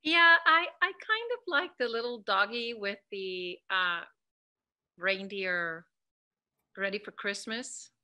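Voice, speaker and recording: A woman's voice: medium pitch, expressive, slow, sharp tone, clear, resonant tone. A woman from America, chatting casually and a little hesitantly as a workshop participant. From Latin America. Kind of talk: casual chat.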